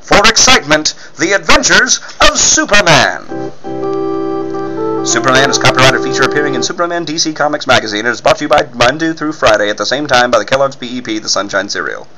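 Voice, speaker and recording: A man's voice: mid-range voice, lively intonation, a little fast, loud music behind the voice, low-quality recording. A man reading scripted narration as a dramatic 1940s-style radio serial announcer.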